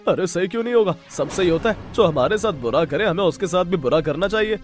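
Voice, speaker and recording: A man's voice: high voice, animated, fast, faint music behind. Scheming, vengeful talking donkey, voiced by a man.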